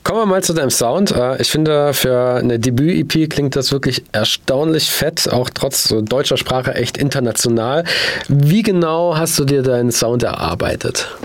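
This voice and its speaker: A male radio host chats with a guest in a relaxed, easygoing way. His voice sits at an ordinary pitch and is recorded close and dry in a studio. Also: normal speed, dry recording. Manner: casual, chatty, laughs often. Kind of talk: radio interview.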